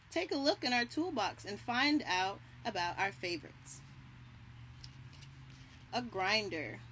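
A young adult woman reading a picture book aloud to children, deliberately, pausing to point out and label the pictures. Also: medium pitch, sharp tone. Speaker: children's read-aloud host. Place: outdoors on a house porch by trees. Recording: birdsong and light outdoor background noise. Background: birds chirping.

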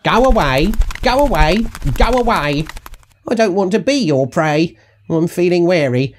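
A man's voice: high voice, expressive, unhurried, slight background noise, voice pushed up. A man voicing a bossy, grumpy grey female bird in an emphatic, sing-song storybook rhyme. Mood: wary, defiant.

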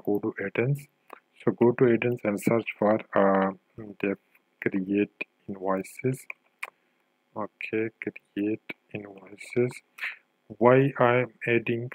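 Low-voiced man narrating a coding tutorial. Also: dry recording, poor audio. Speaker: software tutorial instructor.

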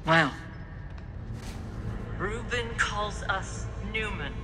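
An actress playing a calm, enigmatic middle-aged woman, a survivor and mentor in a video game, speaking cryptically and soothingly. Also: mid-range voice, very slow. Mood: solemn reassurance. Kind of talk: video game cutscene acting. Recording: loud game noise behind.

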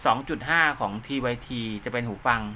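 A man's voice: mid-range voice, animated, fairly quick, bright, forceful tone, slight background noise. A man hosting an informal DIY tutorial, talking casually as he works, repeating himself and dropping in little spoken sound effects. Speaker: host of a DIY radio repair channel. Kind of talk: informal hands-on tutorial, talking while drawing diagrams.

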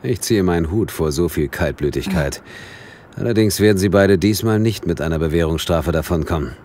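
An actor playing a dry, wry police detective in a radio play. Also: male voice, deep voice, fairly quick, darkish tone. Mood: puzzled, stern. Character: wry English police detective narrating his case. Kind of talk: radio play acting and scripted narration.

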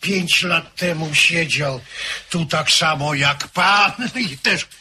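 An actor playing a talkative, chuckling village parish priest in an old radio drama. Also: male voice, fairly high pitch, takes it slowly, sharp tone, a little hiss or hum, exaggerated voice. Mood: hospitable. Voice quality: chatty, laughing storyteller. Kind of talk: vintage radio drama acting.

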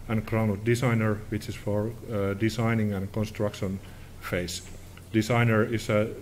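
A middle-aged Finnish man with a Finnish accent giving a conference presentation in halting, informal English at a podium. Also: on the low side, slight background noise. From Finland. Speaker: technology director presenting at a conference. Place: indoor conference hall.

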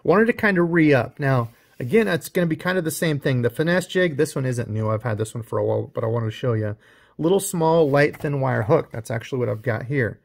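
A man with a middle-range voice talks straight to the viewer while his hands show off new fishing tackle. He is chatty and relaxed and explains the gear as he goes, recorded on a close mic with the speaker off camera. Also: medium pitch, vocal fry. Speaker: fishing channel host. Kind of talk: casual unboxing show-and-tell.

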